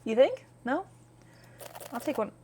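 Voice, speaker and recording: A woman hosting a casual game playthrough, excitable and giggly, talking to viewers between lines she reads aloud. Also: high voice, unhurried, a little hiss or hum. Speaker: gaming video host.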